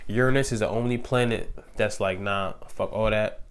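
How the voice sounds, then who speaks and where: Sniffly young man, slangy and low. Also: normal speed, clear, resonant tone. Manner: laid-back, slangy and loose, many fillers. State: sick with a cold, sniffling. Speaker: astrology YouTuber. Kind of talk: casual slangy explainer.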